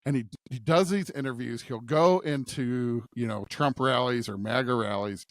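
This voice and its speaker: A man's voice: mid-range voice, moderate pace, dry recording, studio quality. A man hosting a conversational scripture discussion on a podcast, thinking aloud and often checking in with a questioning "right?". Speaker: podcast host.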